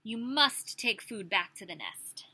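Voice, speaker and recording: A woman's voice: medium pitch, big pitch swings, a little slow, pushed and bright, breathy edge, rough recording. A woman preschool teacher reading a picture book aloud expressively, with questions and chatty asides for small children.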